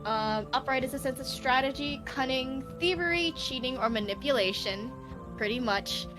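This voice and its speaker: A young adult woman doing a casual live-stream tarot reading, speaking in a halting, giggly way with repeated filler phrases. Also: sits high. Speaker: tarot card reader. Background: background music.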